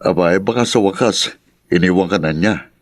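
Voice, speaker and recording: A man's voice: low-pitched, moderate pace, no room sound, studio quality. A man with a Filipino accent playing a concerned younger friend who speaks frankly and admonishingly in a radio drama. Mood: concerned reproach. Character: concerned, scolding younger male friend of a drunkard.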